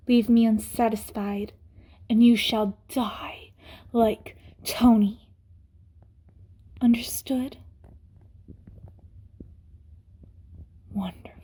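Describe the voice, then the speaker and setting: A woman playing a haughty, cruel evil queen in an ASMR roleplay, speaking in an imperious, deliberate, menacing way. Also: on the high side, dark tone. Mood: commanding, contemptuous, then whispered menace. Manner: imperious, slow and deliberate, drops into menacing whispers.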